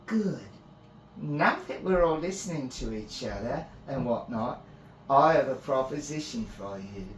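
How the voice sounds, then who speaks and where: A man voicing a maniacal, patronizing female fae priestess in a fantasy story, savoring and teasing with drawn-out words. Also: medium pitch, expressive, slight background noise, pitched above the performer's own voice, lightly acted. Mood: playful, scheming. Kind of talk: dramatic fantasy storybook reading. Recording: roomy echo, music behind.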